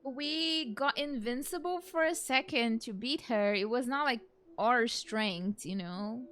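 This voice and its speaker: A woman's voice: on the high side, a little slow, a bit bright. A woman streamer giving casual, playful reactions while playing a video game. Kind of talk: casual let's play reactions. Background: game music.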